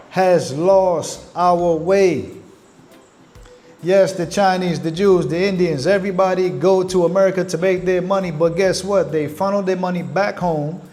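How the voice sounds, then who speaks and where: An earnest man speaks to his viewers as family in a livestream, with a high voice. He takes his time and weighs each phrase. Soft music plays quietly behind him. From Caribbean. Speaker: channel host.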